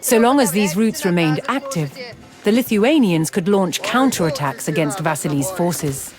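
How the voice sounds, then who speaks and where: A woman giving scripted, documentary-style narration for a historical strategy game, measured and formal in her storytelling. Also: on the low side, expressive. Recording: music behind the voice. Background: music.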